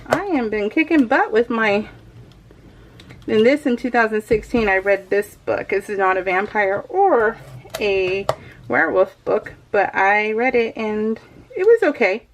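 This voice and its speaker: A woman chatting casually to her viewers as a craft vlogger, in an excitable, rambling, giggly way. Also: mid-range voice, measured pace, a little hiss or hum. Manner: addresses viewers familiarly. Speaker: craft vlogger hosting a scrapbooking video.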